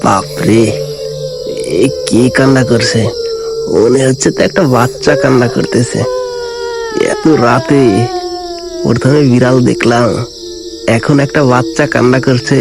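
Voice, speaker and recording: A man's voice: medium pitch, a little slow, pauses often, music in the background, natural voice. A man voicing a weary, wary village grandfather of about seventy in a cartoon ghost story, talking in a folksy, self-muttering way. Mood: uneasy. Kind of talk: animated ghost story dialogue.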